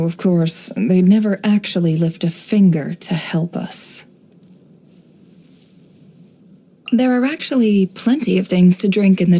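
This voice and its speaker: Sly, mellow-voiced game schemer. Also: female voice, medium pitch. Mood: dry, bitter. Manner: measured, coolly knowing, teasing. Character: sly, composed young woman, desert-born intelligence broker. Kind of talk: video game character voice lines.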